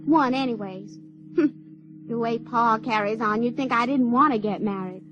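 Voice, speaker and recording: A woman's voice: fairly high pitch, moderate pace, natural voice. An actress playing an artless, stubborn sixteen-year-old runaway girl in an audio drama, with a rustic, drawling Ozarks accent and plain-spoken manner. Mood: plaintive. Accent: Ozarks rural American. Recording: dull lo-fi radio recording, faint noise.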